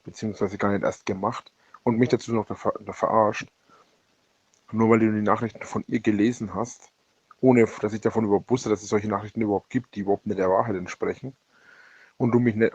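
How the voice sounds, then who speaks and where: Low-pitched man, husky and gravelly, halting rambling personal monologue, self-correcting, dull lo-fi voice message. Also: darkish tone, no room sound. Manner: halting, repeats and corrects himself. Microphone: voice message recorded on a phone. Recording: dull lo-fi leaked voicemail recording.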